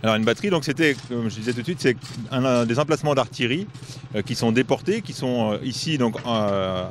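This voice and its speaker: A man of middle pitch explains things outdoors in a forest, pausing often on fillers as he goes. His tone is steady and informative. Faint work noise runs behind him. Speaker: heritage association organizer. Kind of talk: explanatory interview answers.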